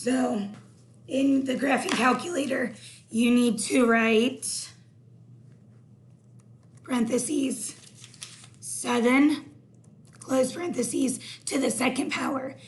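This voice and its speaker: A hoarse woman teaching a recorded math lesson, explaining each step slowly. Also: high-pitched, fairly even intonation, slight background noise.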